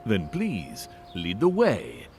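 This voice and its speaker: Stern lord with swooping intonation. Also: male voice, fairly low pitch, huge pitch swings, slow, a little mellow, a little hiss or hum, exaggerated voice. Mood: commanding, obliging. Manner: formal, questioning, commanding. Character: stern, suspicious lord commanding a fantasy keep. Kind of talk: fantasy video game voice acting. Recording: background music under dialogue.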